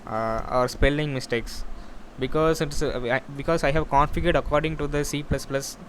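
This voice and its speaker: Flat-voiced man explaining, noisy recording. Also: a little high. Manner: halting, repetitive explaining. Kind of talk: informal software demo walkthrough. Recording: clear steady background noise.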